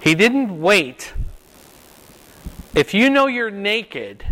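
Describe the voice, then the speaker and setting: An older man with a loud, fairly high voice leads a Bible class, firing questions at his group and repeating them until someone answers. He speaks very slowly and deliberately. The sound carries a long reverb from the room. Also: big pitch swings. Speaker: Bible study teacher. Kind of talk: interactive Bible class, quizzing listeners.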